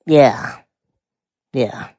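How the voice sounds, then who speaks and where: A man in his forties from Montana with a quiet voice, calmly explaining things as a podcast guest. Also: extremely slow, dry recording. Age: 40s. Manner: calm, explanatory. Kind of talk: casual conversation.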